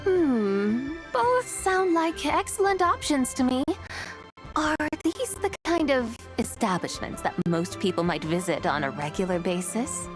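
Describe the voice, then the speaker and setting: A woman's voice: high voice, very animated, unhurried, over music. A woman voicing a haughty, playful immortal lady in a video game, formal, teasing and coolly condescending. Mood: curious.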